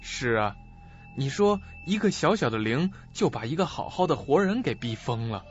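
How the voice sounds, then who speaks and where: A man's voice: medium pitch, very animated, normal speed, muffled recording, natural voice. A man playing a greedy, crooked lawyer in a suspense radio play, with an oily, ingratiating manner. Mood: gloating. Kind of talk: audio suspense drama acting. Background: music.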